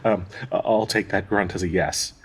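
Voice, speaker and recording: A man playing a gentle, grieving dryad in an intimate audio roleplay, speaking in a tender, halting, wistful way with a husky, breathy voice. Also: sits low, steady tone. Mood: anxious, hesitant. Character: gentle, grieving male dryad devoted to a sick companion.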